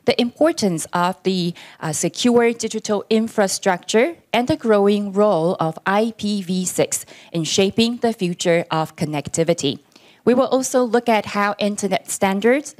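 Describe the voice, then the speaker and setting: A woman working as conference emcee, reading her welcome in a formal, measured way. Her pitch and tone sit at an everyday middle, and she keeps a steady announcing manner. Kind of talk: bilingual scripted announcing. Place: conference hall.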